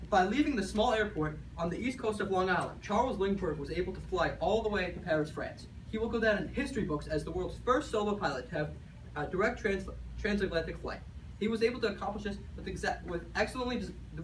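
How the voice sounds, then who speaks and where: A man playing an earnest, excited 1920s radio newsman and baseball announcer, sometimes stumbling over his lines. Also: high voice, fast talker. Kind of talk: amateur old-time radio commentary. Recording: echoey, faintly noisy recording from far off.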